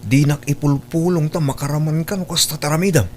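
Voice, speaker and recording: A man's voice: a little high, steady tone, a little fast, darkish tone, slight background noise, close to an everyday voice. A man playing a defiant, lazy college-age son in a radio drama, insolent and dismissive. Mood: defiant, sneering. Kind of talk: Ilocano radio drama acting.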